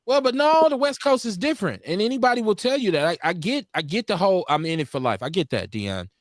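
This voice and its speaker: Man, 40s, animated podcast host, emphatic, dry close sound. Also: high voice, fast talker, dry recording. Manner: animated, emphatic, restarts often. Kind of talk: casual chat.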